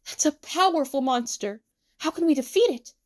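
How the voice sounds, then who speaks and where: A woman's voice: high voice, expressive, normal speed, pitched above the performer's own voice. A woman voicing a big-hearted, theatrical young man who plays a prince, dramatic and exclamatory. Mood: flustered, excited. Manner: dramatic, exclamatory, stammering when flustered.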